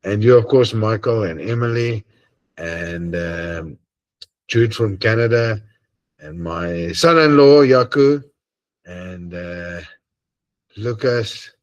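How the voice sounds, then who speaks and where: Deep-voiced Afrikaans-accented man. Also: takes it slowly, long pauses, dull audio. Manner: hesitant, many ums and uhs, earnest. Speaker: host of an online assembly. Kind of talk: casual closing chat on a video call. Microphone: video call.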